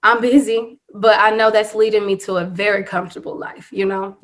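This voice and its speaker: An emphatic young woman chatting as a guest on a podcast. She leans on her key phrases and says them more than once, in a mid-range voice that is neither high nor low for a woman. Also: steady tone, a little fast. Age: 20s. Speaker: guest business owner. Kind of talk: casual podcast interview.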